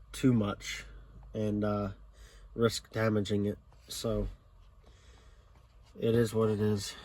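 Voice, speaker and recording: An American man giving a casual, unscripted hands-on walkthrough in a plainspoken, matter-of-fact way with hesitant pauses. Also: lowish voice, a little mellow. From South Jersey, United States. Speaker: hobbyist showing a car CB radio installation. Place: in and around a car. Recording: clearly noisy handheld recording with clicks.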